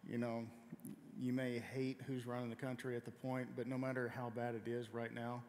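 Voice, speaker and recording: A man in his thirties, a guest on a church stage, answering in a calm, direct, plainspoken way. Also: mid-range voice, moderate pace. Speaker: guest, former Navy SEAL. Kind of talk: onstage interview. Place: large church stage before an audience. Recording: long hall reverb, faint noise.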